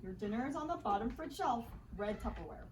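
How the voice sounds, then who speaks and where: Woman acting a sarcastic high-voiced wife, recorded from afar. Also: expressive, brisk, natural voice. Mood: curt. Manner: dry, sarcastic, sharp-tongued. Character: sarcastic, exasperated but caring wife. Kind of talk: live radio play reading. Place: live reading at a bookshop event. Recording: distant mic, faint room noise.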